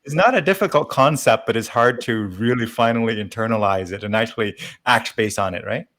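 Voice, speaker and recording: A man hosting an interview, speaking thoughtfully and conversationally in halting, hesitant phrases. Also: mid-range voice, a bit bright. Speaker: interview host.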